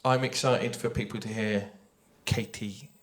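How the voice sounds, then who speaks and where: A man's voice: on the low side, steady tone, measured pace. Man speaking thoughtfully on a panel. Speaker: writer on a panel. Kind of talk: panel discussion, speaking off the cuff. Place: live panel at a games festival. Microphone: handheld or shared panel microphone.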